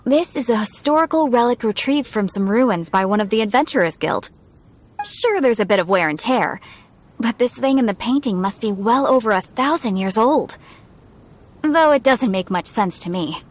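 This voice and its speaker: A woman's voice: on the high side, animated, moderate pace. A woman playing a chatty, obliging female souvenir shopkeeper giving sales patter in an anime game. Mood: explaining.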